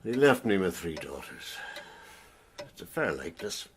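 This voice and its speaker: An actor playing an old, widowed Victorian father in a radio drama, speaking in a halting, wry and proud way. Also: low voice, sing-song, background noise. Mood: wistful.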